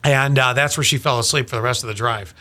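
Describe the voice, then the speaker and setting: A man's voice: mid-range voice, quick pace, sharp tone. A man hosting a podcast, chatting casually and telling anecdotes in a hesitant, stop-start way. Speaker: podcast host.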